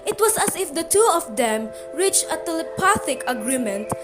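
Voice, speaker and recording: A woman with a high voice narrates a radio play in a scripted storytelling style. Music plays clearly behind her. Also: very animated, normal speed.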